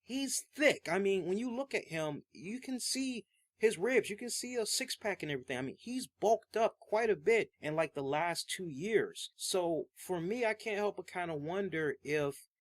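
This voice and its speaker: A young man talks wrestling in a casual, chatty way. He hedges his opinions and fills his sentences with "like" and "you know." His voice sits on the high side for a man. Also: expressive, moderate pace. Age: young adult. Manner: chatty and hedging, with many fillers. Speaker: wrestling show host. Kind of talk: casual commentary from an older episode.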